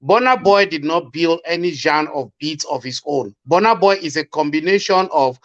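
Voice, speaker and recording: A man with a Cameroonian Pidgin English accent, arguing forcefully as a guest in a panel discussion. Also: fairly high pitch, moderate pace, muffled recording.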